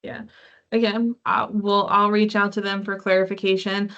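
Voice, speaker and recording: A woman's voice: medium pitch, level tone, normal speed, fairly bright tone. Muffled woman hosting a conference call. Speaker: meeting host. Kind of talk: answering questions on a conference call. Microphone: online conference call.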